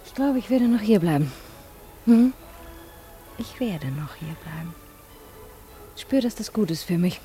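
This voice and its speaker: A woman's voice: medium pitch, animated, measured pace, long pauses, warm tone, close to an everyday voice. An actress playing a playful, tender young woman in love, teasing and intimate in a 1950s radio play. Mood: tender, dreamy. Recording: 1950s radio recording, faint music behind.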